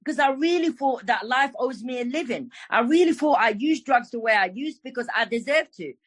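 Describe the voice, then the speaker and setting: A woman's voice: fairly high pitch, animated, brisk, husky, dry recording. A middle-aged woman from Morocco, sharing her recovery story at a meeting in a frank, emphatic way and swearing freely. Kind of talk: personal recovery share.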